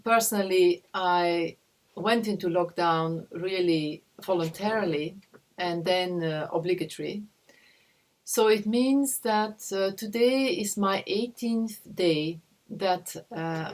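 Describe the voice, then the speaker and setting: A woman from Belgium, speaking from her home in a video call interview, pausing often to search for words. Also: on the low side. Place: home in a rural village.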